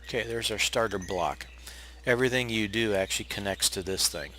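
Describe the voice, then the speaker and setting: A hoarse, raspy man who is feeling unwell, casually talking viewers through a game build step by step with plenty of pauses and restarts. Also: fairly low pitch, soft background music. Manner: relaxed, explanatory, with frequent uh fillers and restarts. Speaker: game tutorial narrator. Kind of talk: casual step-by-step gameplay tutorial.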